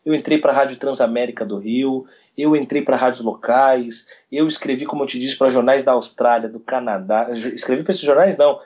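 A man, a radio sports journalist telling a story in an interview, retelling events quickly with quoted dialogue. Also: mid-range voice, darkish tone. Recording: dull lo-fi recording.

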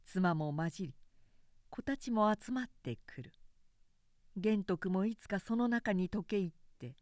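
A woman narrator reading a literary work aloud in measured, precise, announcer-like phrasing. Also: mid-range voice, animated, darkish tone, no echo, studio quality.

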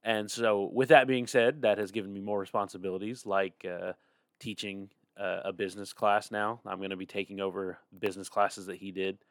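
A man's voice: fairly low pitch, flat delivery, a little fast, no room sound. Tired young man chatting, dry sound. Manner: rambling, laughs often, yawning. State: tired, getting over a cold. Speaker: podcast co-host. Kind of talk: casual chat.

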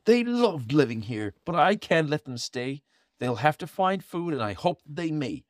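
A man's voice: on the high side, very animated, measured pace, no echo, clean studio sound, pitched above the performer's own voice. A man voicing a small, cranky male forest creature who scolds in rhyme, read from a storybook. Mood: scolding. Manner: scolding, indignant rhyming speech. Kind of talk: storybook reading aloud.

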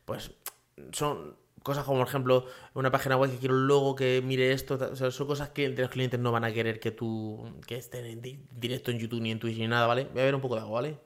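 A man hosting a solo podcast from his home office. His voice sits at an ordinary middle pitch. He talks loosely and casually, jumping between thoughts with fillers and frequent tag questions. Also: level tone, quick pace. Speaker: podcast host.

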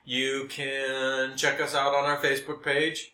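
A man's voice: mid-range voice, completely flat delivery, measured pace, pushed and bright, reverberant. An American man co-hosting a casual comedy podcast with dry, self-deprecating humor. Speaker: podcast co-host.

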